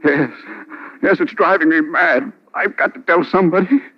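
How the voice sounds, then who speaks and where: Man acting haunted, high-voiced, desperate husband. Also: normal speed, lightly acted. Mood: frightened. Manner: pleading, melodramatic. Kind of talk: 1940s radio acting. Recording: dull old radio recording.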